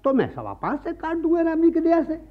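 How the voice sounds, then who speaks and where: Shrill, greedy priest moneylender. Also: male voice, huge pitch swings, quick pace, heavily acted. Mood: slyly calculating. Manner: sanctimonious, wheedling, repeats a pious catchphrase. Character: greedy, wily male Brahmin priest and moneylender. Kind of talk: Hindi radio play acting. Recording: muffled archival radio play recording.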